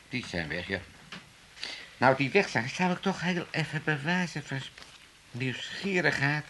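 Casting: a sly, meddling old Amsterdam dad, sharp bright voice swooping up and down, radio comedy. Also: male voice, medium pitch, slight background noise. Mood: sly, curious. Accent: Amsterdam Dutch. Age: older. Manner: chattering, repeats himself. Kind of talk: 1980s comedic radio drama acting.

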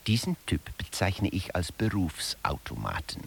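A man playing a management theorist who lectures wryly and didactically in a radio play, with a gravelly, husky voice. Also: low voice, sing-song, unhurried, heavily acted. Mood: dry, explaining. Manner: dry, didactic, addresses listeners directly.

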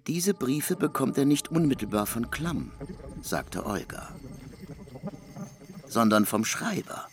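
An actor playing a literary narrator in a radio play, relaying someone's account in a deliberate, expressive storytelling manner. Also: male voice, mid-range voice, a little hiss or hum. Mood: intent, storytelling.

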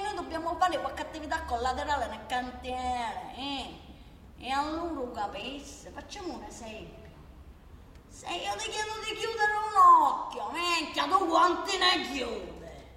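A woman's voice: extremely high pitch, bright, forceful tone, slight background noise, full character voice. A woman playing a bullying, mock-polite male Sicilian mafia boss in a satirical radio drama, menacing and wheedling, with frequent questioning interjections. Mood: mock-polite menace, then exasperated. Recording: echoey hall, distant mic, faint music.

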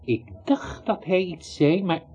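A man's voice: high-pitched, takes it slowly, darkish tone, slightly rough, full character voice. A male actor playing an aloof, vague doctor in a radio play, offhand and noncommittal. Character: aloof, vague doctor met on a night street. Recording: dull, slightly noisy radio recording.

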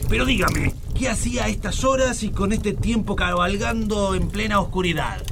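An actor playing a gruff, suspicious, hard-drinking gravedigger father in a radio drama, blunt and gravelly. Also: high-pitched, lively intonation, normal speed, noisy background. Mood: gruff, wary.

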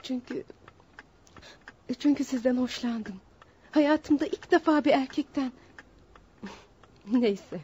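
A woman's voice: fairly high pitch, dark tone, slightly rough. An actress playing a remorseful, lovestruck female swindler in a melodramatic radio play, speaking in a wistful, formal way. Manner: wistful, dramatic, formal phrasing. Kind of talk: melodramatic radio theatre acting. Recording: dull lo-fi radio drama recording.

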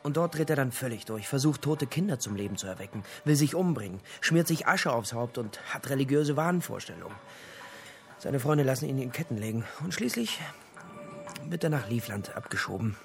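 A male investigator with a mid-pitched voice. He explains things in short, dry phrases, natural radio-drama dialogue with faint music behind. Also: expressive, fairly quick, creaky. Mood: brisk, grim recounting. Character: male investigator, dryly explaining his findings.

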